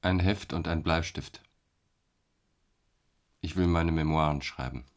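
An actor playing a detached middle-aged man confined in an asylum, calm, matter-of-fact and quietly ironic in a radio drama. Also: extremely low pitch, a little slow. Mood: flat, resigned.

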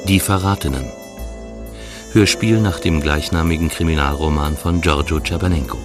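A man's voice: very deep voice, moderate pace. A man reading a scripted title announcement for a radio play. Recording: title announcement over loud music.

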